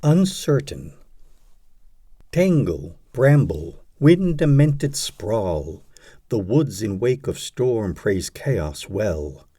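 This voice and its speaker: A man narrating a poetry audiobook, reciting scripted verse in a measured, deliberate way. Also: on the low side, sing-song, warm tone, husky, no echo, clean studio sound.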